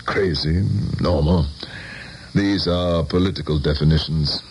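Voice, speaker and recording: An actor playing a cynical, condescending middle-aged politician in a radio drama, speaking formal, measured American English. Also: male voice, very deep voice, steady tone, breathy edge, full character voice. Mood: dry, skeptical. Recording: noisy old radio broadcast.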